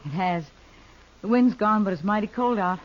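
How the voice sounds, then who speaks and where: A woman's voice: mid-range voice, moderate pace, slight background noise, close to an everyday voice. An actress playing a tough, self-reliant frontier ranch woman in a radio western, terse and plain-spoken. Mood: weary, kindly. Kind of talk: 1950s radio western acting. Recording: dull old radio recording.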